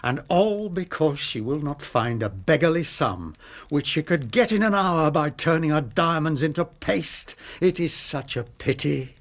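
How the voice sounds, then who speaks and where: Oily, smug English blackmailer. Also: male voice, fairly high pitch, lively intonation, measured pace. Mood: mock regret, menacing. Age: middle-aged. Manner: mock-polite, pompous, theatrically regretful. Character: smug, oily, small stout middle-aged master blackmailer. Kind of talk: British radio drama acting.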